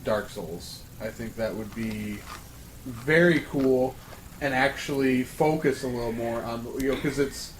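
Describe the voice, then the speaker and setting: A man's voice: mid-range voice, measured pace, slight background noise, poor audio. A man in a casual round table discussion, hesitant and searching for his words. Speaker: podcast panelist.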